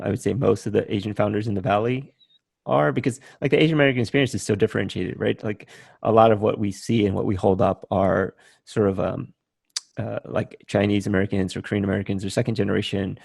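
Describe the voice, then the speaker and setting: Interview host, male, forties, from Vietnam. Low, gravelly, a bit husky, dry close sound, relaxed and musing. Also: no echo. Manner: relaxed, thinking aloud with many "um" and "right?". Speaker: podcast host. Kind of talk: casual interview chat.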